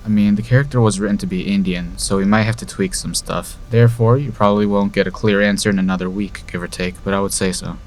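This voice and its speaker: A man acting in an audio drama as a polite, smooth casting director running an audition. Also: fairly low pitch, fairly even intonation, fast talker, few pauses, a little hiss or hum, lightly acted. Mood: businesslike, polite.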